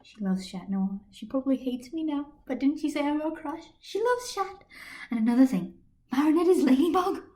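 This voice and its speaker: A woman's voice: high voice, lively intonation, moderate pace, mellow, voice pushed up. A woman voicing an earnest, easily flustered teenage schoolboy in a fan fiction story, with a questioning manner. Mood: stunned realisation. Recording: echoey room on computer microphone.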